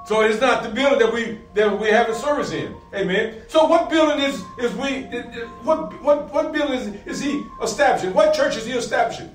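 A man's voice: extremely high pitch, animated, a little fast, few pauses. A middle-aged man preaching a sermon as a pastor, asking and answering his own questions, repeating phrases and punctuating them with amens. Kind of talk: sermon, preaching with scripture readings. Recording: roomy, distant mic, faint music behind.

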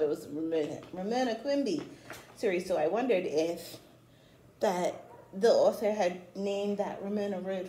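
A middle-aged woman reading a storybook aloud to young children, with chatty asides, in an expressive, encouraging way. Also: on the low side, slight background noise. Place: small room at home, indoors. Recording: echoey small room.

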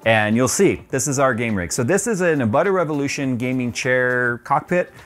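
A man's voice: mid-range voice, expressive, moderate pace, fairly bright tone. A man in his forties giving a casual tour of his home game room, chatty and loose with plenty of ums, on a lapel mic. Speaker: tech YouTuber.

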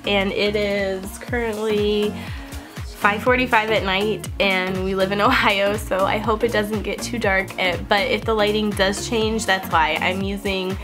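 A woman in her twenties, a beauty vlogger chatting casually to the camera in a house hallway, her voice scratchy and stuffy from a cold, laughing often. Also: mid-range voice. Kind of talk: casual haul vlog. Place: upstairs hallway of a house, indoors. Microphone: camera-mounted mic, seated close to the camera.

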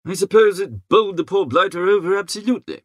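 A man playing a good-natured, easily flustered young upper-class idler who narrates an audiobook in a chatty, slangy, expressive way. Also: sits high, quick pace, no echo, studio quality. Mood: alarmed, concerned. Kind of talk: first-person audiobook narration.

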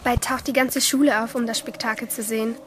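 A woman's voice: on the high side, fairly even intonation, fairly quick, slight background noise, natural voice. An actress playing a rebellious, intense and jealous teenage girl swimmer, narrating and speaking in a crime radio play. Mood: conspiratorial narrating. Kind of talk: first-person audio drama narration and dialogue in a crime radio play. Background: music behind the voice.

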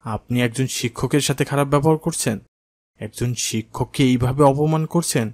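A man's voice: mid-range voice, normal speed, darkish tone, close to an everyday voice. A man playing a firm, dignified maths teacher in a children's cartoon. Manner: stern, dignified, instructive. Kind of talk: cartoon dialogue acting.

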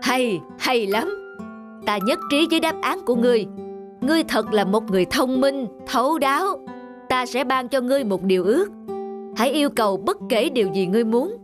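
A woman voicing a commanding, fair-minded king in an animated folktale, speaking deliberately and regally. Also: on the high side, music in the background, close to an everyday voice. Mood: approving. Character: king, adult man, imperious yet fair-minded ruler.

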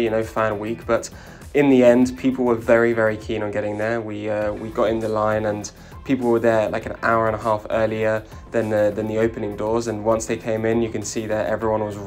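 A young man talking casually as a vlogger over his footage, filling his sentences with easy asides. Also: lowish voice. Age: young adult. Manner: chatty, relaxed, many "you know" fillers.

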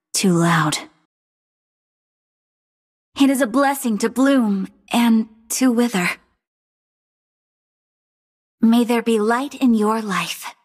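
A woman voicing a noble, sorrowful undead queen in a video game, with a breathy voice and a solemn, theatrical, regal delivery. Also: fairly high pitch. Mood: mournful, tender. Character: sorrowful, noble undead queen guarding her fallen kingdom. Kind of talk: video game hero voice lines.

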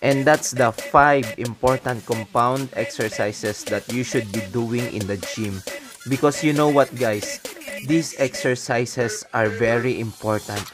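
Slow-talking Filipino man coaching over music. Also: mid-range voice. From Philippines. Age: 20s. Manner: step-by-step instructions in short phrases. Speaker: fitness coach and video host. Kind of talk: instructional workout voice-over.

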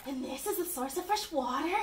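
Very high, whiny cowardly puppet servant played by a child. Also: animated, fairly quick. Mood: scheming, grumbling. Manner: melodramatic, exclamatory. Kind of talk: amateur puppet-show acting. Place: indoor room with puppet stage. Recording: roomy, distant mic, faint noise.

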